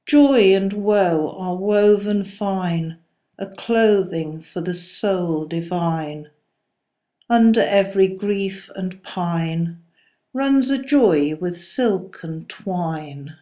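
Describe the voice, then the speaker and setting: A woman reciting poetry in a solemn style, with measured, deliberate phrasing. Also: fairly low pitch, mellow. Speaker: poetry reader.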